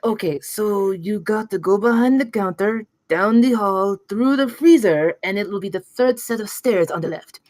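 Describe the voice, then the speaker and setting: A woman's voice: mid-range voice, moderate pace, hardly any pauses, darkish tone, natural voice. A woman at a table read playing a chatty eighteen-year-old counter worker in a donut shop. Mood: chatty, breezy. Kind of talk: scripted film table read.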